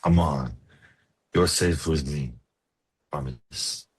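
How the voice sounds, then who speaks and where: An actor playing a gentle, coaxing farm suitor, tender and a little forward, in a romcom table read. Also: male voice, extremely low pitch, takes it slowly, slightly rough, exaggerated voice. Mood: reassuring.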